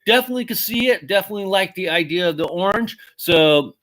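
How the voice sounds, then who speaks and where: A man in his thirties or forties chats casually and excitedly, his voice bright and fairly high. He swears offhandedly and keeps calling things awesome, like a collector vlogging his latest finds. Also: fast talker. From Mexican heritage. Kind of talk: casual unboxing chat.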